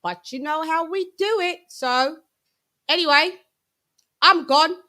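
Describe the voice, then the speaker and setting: British female boxing stream host; bright, forceful voice, slangy casual chat, effusive delivery. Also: extremely high pitch, slow. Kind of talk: casual live-stream chat with shout-outs.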